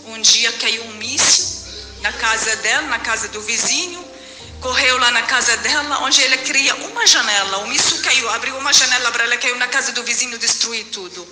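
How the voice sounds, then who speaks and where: A woman's voice: on the high side, normal speed. A middle-aged woman from Syria, giving an earnest testimony at a church service and keeping up her appeals to the congregation. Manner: earnest, keeps addressing the congregation as brothers. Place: church hall. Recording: echoey hall with music behind.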